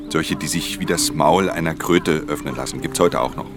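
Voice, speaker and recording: A man's voice: extremely low pitch, normal speed, over music. An actor playing an aloof, weary male pickpocket in a radio play, terse and understated, often dropping into a near-whisper. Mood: quiet, resigned. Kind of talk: German radio play acting with intimate first-person narration.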